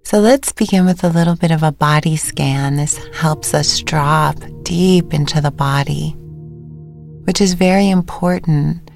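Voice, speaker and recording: Female meditation guide, low register, slow and gentle delivery with pauses, faint music bed. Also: vocal fry. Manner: unhurried, gentle instruction with pauses. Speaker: meditation teacher.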